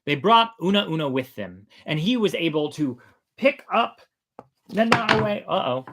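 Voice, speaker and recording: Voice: mid-range voice, sing-song, a little slow, fairly bright tone. A storyteller hosting a show, telling folk tales informally in a playful, conversational way.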